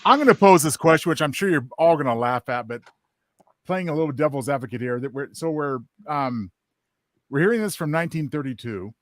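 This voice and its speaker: A man speaks as a panel guest in a relaxed group chat. His voice sits a little higher than average and he picks his words thoughtfully, with hesitation. The sound is dry. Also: brisk, no echo. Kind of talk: casual live group discussion.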